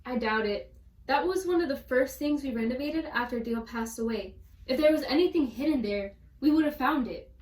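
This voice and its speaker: A woman's voice: high voice, animated, brisk, darkish tone. A woman playing a no-nonsense rehearsal director in a 1940s radio play. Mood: businesslike. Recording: roomy, distant microphone.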